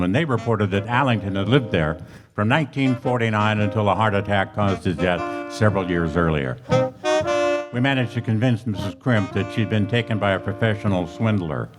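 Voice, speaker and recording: Guy playing deep voiced no nonsense cop, deadpan and clipped, old radio show with music behind. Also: normal speed, natural voice. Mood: clipped narrating. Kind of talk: old-time radio police drama acting and narration. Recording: live stage radio play with music.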